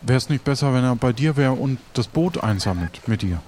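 A man improvises a hesitant, practical pirate crewman in an audio play. His voice is mid-pitched and he keeps restarting his phrases. There is slight noise behind him. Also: expressive, darkish tone, close to an everyday voice. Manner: halting, repeats phrase openings.

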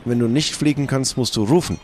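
A man of medium pitch improvises story and dialogue in a pirate role-play. His delivery is casual and halting, with faint noise in the background. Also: darkish tone.

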